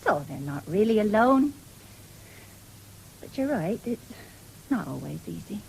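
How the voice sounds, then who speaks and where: A woman's voice: mid-range voice, big pitch swings, a little slow, vocal fry, rough recording. An actress with an English accent playing a firm but loving country mother in a radio drama. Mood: polite. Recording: faintly hissy 1970s radio recording.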